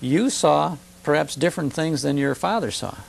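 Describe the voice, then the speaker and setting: A man's voice: a little high, moderate pace, vocal fry. An American man in his fifties speaks as an interview guest in fluent, nostalgic storytelling, using short emphatic phrases and rhetorical questions. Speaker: guest, old-time radio broadcaster and enthusiast. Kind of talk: nostalgic conversational interview talk. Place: television studio. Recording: older TV broadcast with faint hiss and hum.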